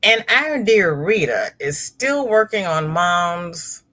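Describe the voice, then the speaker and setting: A middle-aged woman chatting on a crochet livestream, taking her time over each comment and greeting viewers one by one. Her somewhat low voice swings up and down a lot as she talks. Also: sing-song, takes it slowly. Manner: warm, chatty, reads comments aloud and greets viewers by name. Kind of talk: casual livestream chat reading viewer comments.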